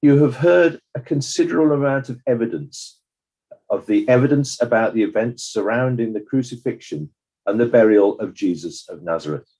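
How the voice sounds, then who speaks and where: A man's voice: mid-range voice, mellow, lightly acted, poor audio. A man playing a stern, sceptical coroner who questions witnesses formally in a dramatized inquest. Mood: authoritative. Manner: formal, measured, probing. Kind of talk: scripted courtroom drama.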